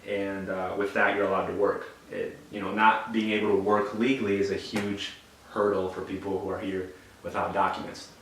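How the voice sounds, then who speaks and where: A young man from Bolivia, speaking low and level in a steady, explanatory manner. His voice sits a little away from the mic and rings in a very echoey room. A faint hum of background noise runs under him. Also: distant mic. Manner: measured, explanatory, some restarts. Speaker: interviewee. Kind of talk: calm explanatory testimony.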